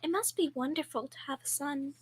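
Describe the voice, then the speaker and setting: High-voiced grieving widow played by a woman. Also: a little fast, natural voice. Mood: polite, subdued. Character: grieving, reclusive young war widow, polite and fragile. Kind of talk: old-time radio drama acting, amateur school performance. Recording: clear background noise.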